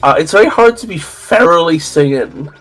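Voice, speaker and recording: A Welsh man talks casually over a video game, his voice sitting a little high for a man. He muses aloud and often restarts his sentences with stammers. Faint game music plays underneath. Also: animated, moderate pace. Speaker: streamer. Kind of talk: casual chat while gaming.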